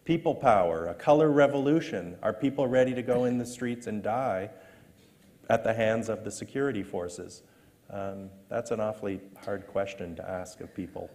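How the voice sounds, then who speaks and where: A lower-voiced man from Canada speaks at a panel with measured, dry asides. His voice carries the long reverb of a lecture hall. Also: a little mellow. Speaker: panelist on elections. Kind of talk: panel discussion.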